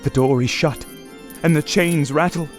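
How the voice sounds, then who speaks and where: A man's voice: on the high side, normal speed, natural voice. An actor playing a fearful young man trapped alone, in melodramatic old-time radio style. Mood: dread. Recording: organ music loud behind.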